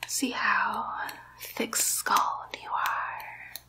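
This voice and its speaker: Woman playing a slow-whispering sly demon doctor. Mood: playful, teasing. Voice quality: soft breathy whisper. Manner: slow, teasing, lightly menacing. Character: playful, sly female demon doctor examining a new soul in hell. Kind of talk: ASMR medical roleplay.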